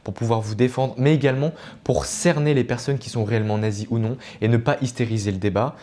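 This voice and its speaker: A man's voice: mid-range voice, fairly even intonation, quick pace, warm tone. A man giving informal explanatory commentary as a video essayist, earnest and didactic, often digressing and correcting himself.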